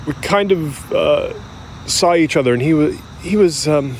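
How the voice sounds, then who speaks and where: An actor playing a middle-aged American man who recalls his youth candidly, in a halting, conversational, self-deprecating way, as if interviewed for an audio drama. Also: on the high side, slight background noise. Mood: candid, rueful. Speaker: interview subject. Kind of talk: naturalistic audio drama acting as interview reminiscence.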